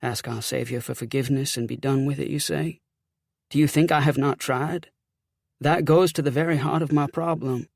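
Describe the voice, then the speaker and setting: A man voicing a guilt-ridden, genteel Southern gentleman in an audiobook, speaking formally and with anguish. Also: medium pitch, fairly even intonation, moderate pace, vocal fry, no echo, studio quality. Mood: anguished, bitter. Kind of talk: audiobook character acting.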